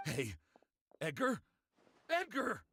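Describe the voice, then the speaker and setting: Very husky, gruff mentor. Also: male voice, high-pitched, wildly swooping pitch, slow pace, a bit bright, full character voice. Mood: alarmed. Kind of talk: anime-style game voice acting.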